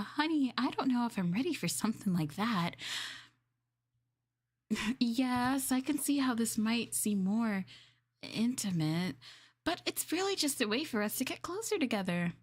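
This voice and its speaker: Woman acting a lively, flustered creature girlfriend. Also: on the high side, breathy edge, exaggerated voice. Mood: playful, coaxing. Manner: coy, teasing, hesitant, expressive, with pet names. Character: sweet, jealous, flustered boneless creature girlfriend inhabiting her partner. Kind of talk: roleplay script performance, girlfriend audio drama.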